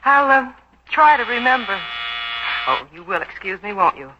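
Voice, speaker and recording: A woman's voice: a little high, big pitch swings, moderate pace. An actress in a 1940s radio drama, playing a young woman with polished period delivery. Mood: brisk, cool. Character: young woman, caring daughter or brisk cool secretary. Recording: dull, noisy 1940s radio recording.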